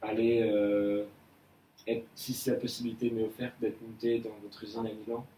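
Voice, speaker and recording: A man's voice: on the low side, level tone, normal speed, warm tone, lightly acted. A young man playing an earnest employee in a mock job appraisal, speaking hesitantly with many fillers and restarts. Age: young adult. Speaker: employee in an appraisal role-play. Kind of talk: amateur role-play of a job interview. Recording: echoey room, mic far off, faint noise.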